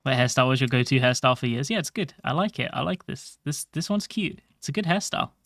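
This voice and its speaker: Soft-voiced man streaming casually. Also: mid-range voice, lively intonation, quick pace, dry recording, clean studio sound. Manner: rambling, chatty, swears casually. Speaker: game streamer. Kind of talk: casual livestream chat.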